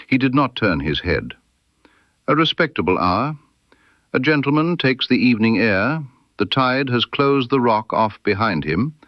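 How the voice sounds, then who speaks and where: A man voicing a brilliant, coolly ironic Victorian gentleman detective in an audiobook, precise and incisive. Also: medium pitch, animated, measured pace, dry recording, highs cut off, voice pushed up, lightly acted. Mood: dry irony.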